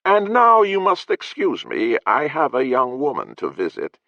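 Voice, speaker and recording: A haughty lord drawls with grating contempt, voiced by a man who raises his pitch. His delivery is slow and blasé. The audio is dry and a little dull. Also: wildly swooping pitch, creaky, sharp tone, dry recording, heavily acted. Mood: contemptuous.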